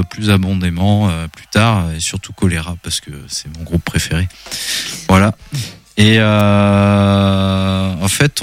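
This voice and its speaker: A man in his fifties hosting a radio show, presenting casually and hesitantly with many pauses and fillers. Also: fairly low pitch. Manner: unhurried, hesitant with frequent fillers. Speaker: radio host.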